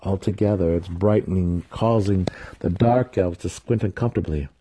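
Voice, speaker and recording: Low, dark-voiced male dramatic narrator. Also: moderate pace, slightly rough. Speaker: audiobook narrator.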